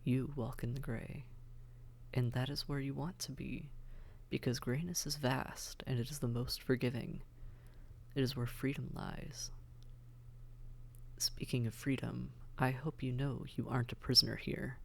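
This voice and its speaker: A man playing a gravelly, seductive demon prince. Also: mid-range voice, flat delivery, slight background noise. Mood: teasing, courteous. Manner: unhurried, teasing, coaxing, formal wording. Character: suave, seductive demon prince, a fallen angel. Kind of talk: intimate roleplay audio drama monologue.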